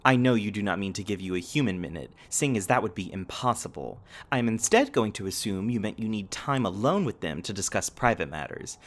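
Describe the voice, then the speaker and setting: A man's voice: fairly low pitch, sing-song, a little fast, hardly any pauses. A man playing a cheerful, literal-minded robot companion in a scripted sci-fi roleplay, speaking in formal, precise, over-explaining sentences. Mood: polite, matter-of-fact.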